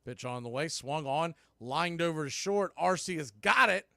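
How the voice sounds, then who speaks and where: A man's voice: a little high, animated, normal speed, gravelly, sharp tone, dry recording, studio quality. A man calling baseball play-by-play, rising sharply on big plays. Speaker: play-by-play announcer. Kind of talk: radio play-by-play commentary.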